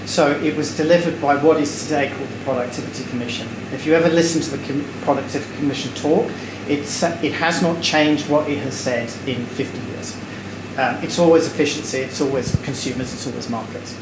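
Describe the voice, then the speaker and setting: A man's voice: mid-range voice, steady tone, brisk. A man answering an audience question on a panel stage, wry and digressive with many fillers. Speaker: lecturer. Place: stage before a live audience in a hall. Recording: echoey hall, air conditioning hum.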